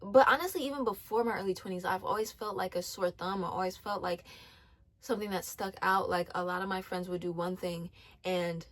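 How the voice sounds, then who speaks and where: Young woman around twenty, lowish voice, earnest faith talk, lots of ums and restarts. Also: a bit bright. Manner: earnest, repeats herself, many ums and restarts. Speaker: faith vlogger. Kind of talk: casual advice talk.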